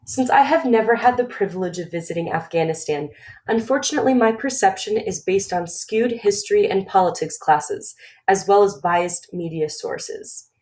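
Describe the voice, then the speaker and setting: A woman's voice: mid-range voice, moderate pace, a little mellow. A woman in her twenties from the United States, whose first language was Romanian, giving a scripted webinar presentation in a formal, read-aloud manner. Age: 20s.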